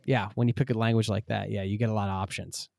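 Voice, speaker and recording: A man's voice: fairly low pitch, flat delivery, fast talker, creaky, warm tone, dry recording, studio quality. A man hosting a podcast, joking and asking questions in a playful way. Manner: joking, playful, laughs often. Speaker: podcast host. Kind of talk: casual interview chat.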